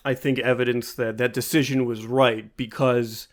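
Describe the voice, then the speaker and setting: A man's voice: mid-range voice, level tone, moderate pace. Dry young man chiming in briefly. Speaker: podcast co-host. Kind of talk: casual chat.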